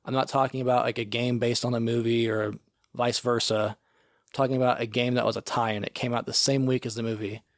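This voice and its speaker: A man's voice: medium pitch, flat delivery, brisk, no echo, clean studio sound. Laid-back man rambling with lots of "like". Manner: rambling, filler-heavy, often says "like". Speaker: podcast co-host. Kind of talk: casual chat.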